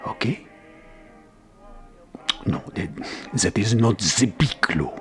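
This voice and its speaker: An actor playing an anxious, secretive boss in an audio drama, stammering and dropping into hushed tones. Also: male voice, lowish voice, lively intonation, slow pace. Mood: conspiratorial. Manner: stammering, flustered, lapsing into hushed whispers. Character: anxious, secretive boss of a janitor, devoted husband. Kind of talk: theatrical audio drama phone monologue.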